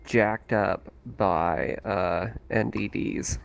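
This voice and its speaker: A man's voice: fairly low pitch, drawn-out words, vocal fry, a little hiss or hum. A man playing in a tabletop roleplaying game, casually talking through what happened in the story.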